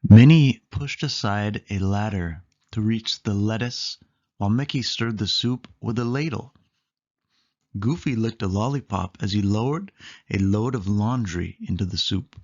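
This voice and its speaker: A man's voice: on the low side, unhurried, darkish tone, dull audio. A man narrating a children's picture book aloud, leaning emphatically on key words. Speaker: storybook reader.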